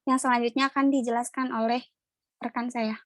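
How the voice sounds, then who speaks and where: Young female student presenter, even intonation, dry close sound, explanatory. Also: fairly high pitch, brisk, dry recording. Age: young adult.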